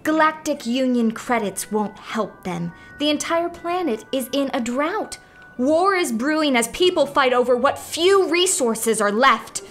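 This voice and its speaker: Conscientious starship doctor, played by a woman: fairly high pitch, precise, earnest, exasperated straight role in sci-fi radio comedy. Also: expressive, a little slow, fairly bright tone, soft background music.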